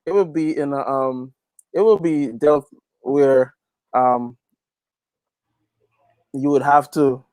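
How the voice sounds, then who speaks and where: A man from Guyana giving a casual interview as a guest, informal and halting, repeating phrases as he thinks. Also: mid-range voice, steady tone, a little mellow, clean tone, no echo, low-quality recording. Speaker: interview guest, gospel reggae artist and youth pastor.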